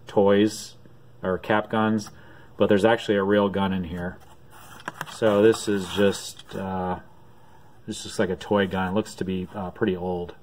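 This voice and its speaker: A man casually showing his auction finds to the camera from behind it, filling his speech with "uh" pauses at home. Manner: unhurried, many "uh" pauses, low-key. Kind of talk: casual off-camera show-and-tell. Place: home room at a desk.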